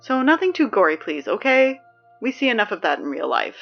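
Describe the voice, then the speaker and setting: A woman's voice: medium pitch, very animated, fairly quick, gravelly, dull audio, soft background music. A woman playing a wry teen school announcer. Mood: chatty. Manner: dry, sarcastic, exasperated. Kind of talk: audio drama acting.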